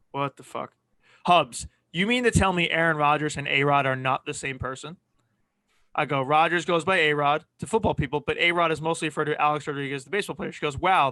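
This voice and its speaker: A man with a fairly high, very bright voice co-hosts a sports podcast. He talks in casual banter, and the sound is close and dry. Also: dry recording. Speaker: podcast co-host.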